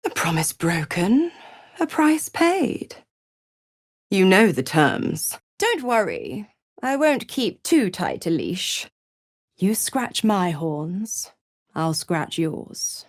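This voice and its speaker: A woman's voice: on the low side, sing-song, slow pace, no echo, studio quality, full character voice. A woman playing a mocking, scheming female devil in a video game, theatrical, teasing and condescending. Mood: smug, scheming. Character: seductive, mocking, scheming female devil, smugly cruel patron. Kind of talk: video game character acting.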